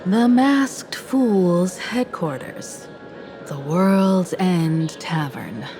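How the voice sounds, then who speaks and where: Low, unhurried, composed woman strategist. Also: animated, dark tone. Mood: composed, resolute. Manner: measured, earnest, articulate. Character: composed, caring, analytical young woman, a seasoned strategist. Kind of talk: video game voice acting, expository dialogue. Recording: studio voice over game music.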